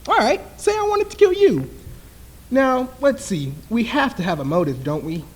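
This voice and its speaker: A man playing a smooth, menacing husband in an old-time radio thriller, outwardly soothing and patronizing. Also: extremely high pitch, sing-song, normal speed, exaggerated voice. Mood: toying, menacing. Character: smooth, menacing husband toying with his frightened wife.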